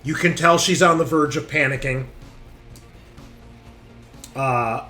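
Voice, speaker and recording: A man's voice: mid-range voice, slow, bright, forceful tone. Casual male game master over music. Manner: informal, profane, thinking aloud. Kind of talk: casual tabletop game narration. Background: game background music.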